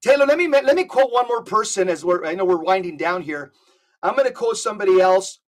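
A man's voice: sits high, expressive, brisk. Emphatic, preacherly man. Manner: emphatic, preacherly, repeats words. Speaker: podcast guest. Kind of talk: animated storytelling and exhortation.

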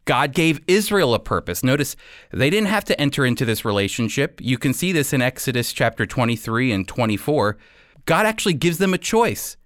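A man with an American accent hosting a radio program, teaching the Bible in an emphatic, deliberate way. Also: mid-range voice, very animated, fairly bright tone, no echo, studio quality.

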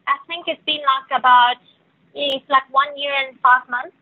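A woman's voice: high voice, steady tone, normal speed, no echo. A woman from Venezuela whose first language is Spanish, speaking English with a South African accent, answering interview questions with many hesitations. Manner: hesitant, many fillers and restarts. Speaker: yacht crew member, guest. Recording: tinny phone line, dry.

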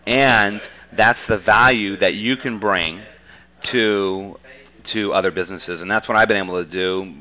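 An American man giving conversational interview answers as a business guest, earnest and halting, often saying "you know.". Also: fairly low pitch, fairly even intonation, pushed and bright, poor audio.

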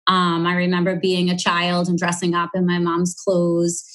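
A woman's voice: on the low side, completely flat delivery, moderate pace. Chatty Boston woman in her forties. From Boston, USA. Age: 40s. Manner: chatty, storytelling. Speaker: podcast guest. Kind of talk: casual interview chat.

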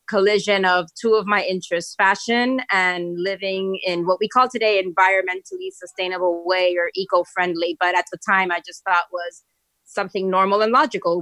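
A woman's voice: mid-range voice, a bit bright, no room sound. Woman from Miami, close dry recording. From Miami, United States. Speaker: interview guest, fashion entrepreneur. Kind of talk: conversational personal storytelling.

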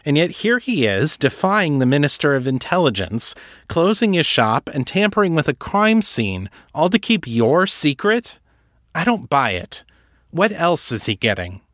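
Skeptical woman detective for an audiobook, voiced by a male narrator: raised pitch, pointed and impatient delivery, dry sound. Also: sing-song, measured pace, fairly bright tone, no room sound. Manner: pointed, challenging, emphatic.

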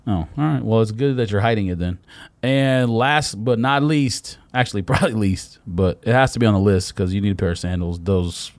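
A man with an American accent and a fairly low voice talks casually in slangy, teasing banter. The sound is dry and close, like relaxed podcast chat between friends. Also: brisk, no echo. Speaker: podcast host. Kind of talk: casual banter among friends about sneakers.

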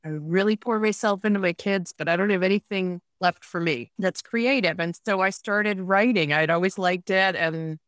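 Frank American woman, lowish voice, close dry sound. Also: moderate pace, few pauses, fairly bright tone, no echo. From United States, upstate New York. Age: 50s. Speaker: podcast guest, writer and podcaster. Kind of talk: candid conversational interview answers.

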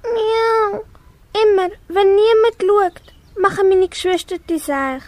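A small, earnest kitten longing to belong , acted by a young girl. The voice is very high and childlike, with slow lines and meows in a Swiss German accent. It is an amateur radio play with faint noise behind. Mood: wistful, annoyed. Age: child or young teen. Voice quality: childlike, meowing. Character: small lonely curious kitten wanting to belong.

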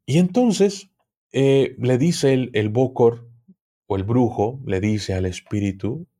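Slow, deliberate man. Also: mid-range voice, lively intonation, mellow. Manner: deliberate, unhurried explaining with frequent restarts. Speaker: occultism expert guest. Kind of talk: explanatory conversational talk.

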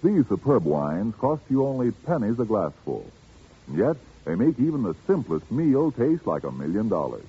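A man's voice: on the low side, big pitch swings, moderate pace, breathy edge. An American man reading a 1940s radio commercial as the sponsor's announcer, emphatic and salesmanlike. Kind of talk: 1940s radio advertising read. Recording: dull 1940s radio broadcast recording.

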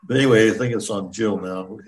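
A man's voice: on the low side, fast talker. A man co-hosting a podcast, bantering casually about the news and tossing in quips, slightly distant from the microphone. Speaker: podcast co-host.

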